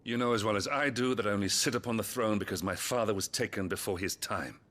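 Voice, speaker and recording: Dutiful middle-aged duke for fantasy game: very low voice, British, measured and wry. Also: male voice, fairly bright tone. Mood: wry, weary. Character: weary, dutiful archduke and loving father. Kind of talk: video game cinematic acting.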